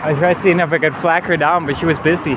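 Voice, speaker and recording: A man's voice: on the high side, steady tone, fast. A man with a Danish accent, a native Danish speaker, talking earnestly and rambling in a casual travel vlog, often adding "you know". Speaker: travel vlogger. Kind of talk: casual walking vlog monologue. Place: outdoors on a city street. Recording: clear street traffic noise. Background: city traffic and vehicles.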